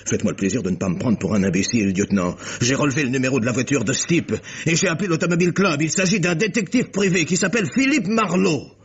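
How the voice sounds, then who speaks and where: An actor playing an anxious, indignant doctor, husky and insistent, in a French radio crime drama. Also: male voice, a little high, lively intonation, quick pace, few pauses. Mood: indignant, panicky. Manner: agitated, insistent, formal. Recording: dull, lo-fi old radio recording.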